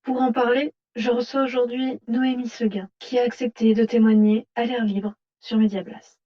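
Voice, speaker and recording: A woman's voice: on the high side, level tone, normal speed, close to an everyday voice. A woman playing an earnest, grave news interviewer in a scripted report. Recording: dull lo-fi sound.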